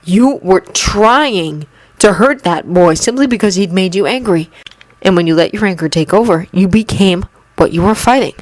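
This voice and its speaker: A calm young mentor who speaks softly but sternly, as a woman reader voices him. His tone is quiet, even and serious. The sound is dry and close. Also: mid-range voice, normal speed, dry recording, lightly acted, low-quality recording. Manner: soft, stern.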